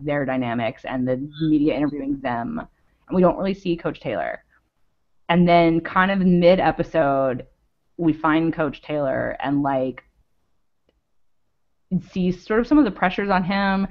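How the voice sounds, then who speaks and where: A woman co-hosting a podcast, talking casually about a TV show and thinking aloud with lots of "like"s. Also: low-pitched. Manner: rambling, thinks aloud with many "like"s. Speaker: podcast co-host. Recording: dull lo-fi audio.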